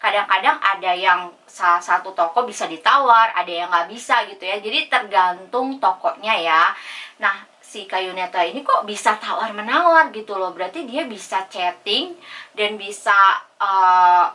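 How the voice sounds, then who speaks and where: Female vlog host, chatty and informal, bright forward voice, recorded a little away from the mic. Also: medium pitch, expressive, moderate pace, far from the mic. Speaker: YouTuber host. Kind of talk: casual vlog explainer, reading out chat messages.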